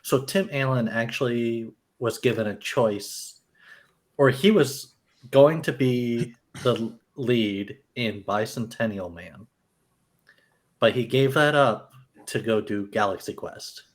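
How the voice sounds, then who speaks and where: A man's voice: mid-range voice, animated, slow. A man chatting casually about films as a podcast panelist. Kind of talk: casual film chat.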